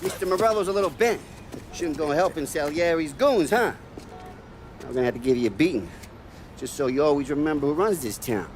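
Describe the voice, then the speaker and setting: An actor playing a gloating, menacing mob thug in a game cutscene, taunting and bullying. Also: male voice, on the high side, animated, fairly quick, very noisy, natural voice. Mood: taunting menace.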